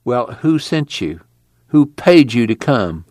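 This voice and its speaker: A man's voice: mid-range voice, big pitch swings, slow pace, a little mellow, dry recording, studio quality, pitched above the performer's own voice. A man voicing a patient middle-aged camp leader who speaks in an earnest drawl.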